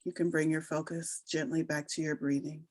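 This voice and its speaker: A woman leading a guided meditation, giving soothing, unhurried instructions with long pauses between phrases. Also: sits low, level tone, a little mellow, dry recording. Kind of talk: slow guided meditation narration.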